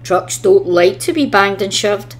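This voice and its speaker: A woman's voice: mid-range voice, animated, a little slow, natural voice. A woman voicing a cautious engine in a children's storybook, indignant and given to warnings. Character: cross, cautious male steam engine workmate.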